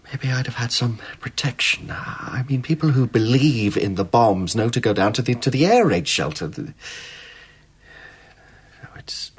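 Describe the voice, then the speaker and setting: An actor playing a troubled, frightened doctor who stammers and speaks with a breathy, shaky voice. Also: male voice, medium pitch, lively intonation, unhurried. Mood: fearful. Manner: stammering, fearful, bursts of urgency. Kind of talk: radio drama acting.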